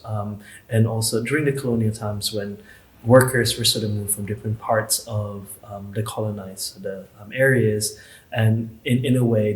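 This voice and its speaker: A male artist from Malaysia explaining his work in a soft, measured way with frequent ums. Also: lowish voice. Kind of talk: talking about his artwork. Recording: echoey room.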